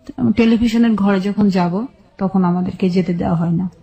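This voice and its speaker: Radio guest, woman, Bangladeshi accent, relaxed mellow tone, halting first-person storytelling. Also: medium pitch, lo-fi sound. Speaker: guest telling her life story.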